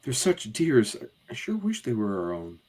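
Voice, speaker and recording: A man voicing a gentle, troubled young mother from a comic, speaking tenderly. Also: mid-range voice, huge pitch swings, normal speed, slightly rough, pitched above the performer's own voice. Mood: wistful.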